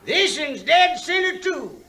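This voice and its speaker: An actor playing an excitable small-town frontier shooting-match judge in a 1950s record drama. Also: male voice, very high-pitched, takes it slowly, full character voice. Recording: noisy, crackly 1950s vinyl record.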